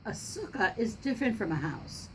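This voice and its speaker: A woman's voice: on the high side, sing-song, moderate pace, fairly bright tone, slight background noise, voice pushed up, rough recording. A woman voicing a patient, firm father who explains things to his child in a storybook.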